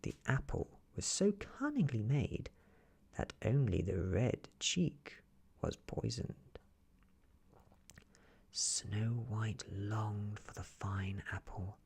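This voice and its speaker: A man softly reads a fairy tale for ASMR at a slow, unhurried pace. His voice is extremely breathy and husky with a creaky edge, and it often drops into a whisper. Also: on the low side, lively intonation. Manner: gentle, hushed, often slipping into whisper. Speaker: ASMR storyteller. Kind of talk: soft-spoken ASMR fairy tale reading.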